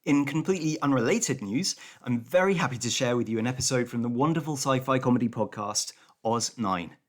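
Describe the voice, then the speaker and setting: A man, a comedy podcast writer, giving a tongue-in-cheek scripted announcement in a deadpan manner. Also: mid-range voice, a little fast.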